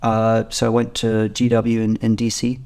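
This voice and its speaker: Man in his thirties, flat even pitch. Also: normal speed. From Spain. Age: 30s. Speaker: podcast guest, gym co-founder. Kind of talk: casual interview storytelling.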